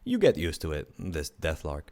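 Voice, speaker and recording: A man's voice: very deep voice, huge pitch swings, moderate pace, a little mellow, heavily acted. A man playing a dry, sarcastic spirit guide to the dead in an audio drama. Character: wry male spirit guide to the afterlife.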